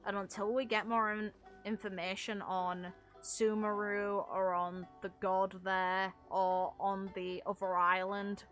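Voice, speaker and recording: A woman's voice: medium pitch, level tone, takes it slowly, hardly any pauses, a bit bright, soft background music. A young adult woman who streams games, chatting casually and informally with viewers in a rambling way. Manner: informal, rambling, mild swearing. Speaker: game streamer. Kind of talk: casual livestream chat with viewers.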